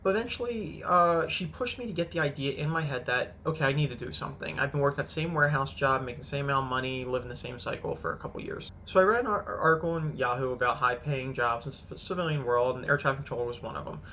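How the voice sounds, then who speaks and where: A man in his mid-thirties talks through his past in an easy, meandering vlog style, stopping now and then to correct himself. His voice is bright and forward, with a faint hum of room ambience behind him. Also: medium pitch, expressive, fast talker, few pauses, highs cut off. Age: 30s. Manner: rambling, self-correcting storytelling. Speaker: finance vlogger. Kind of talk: casual personal vlog.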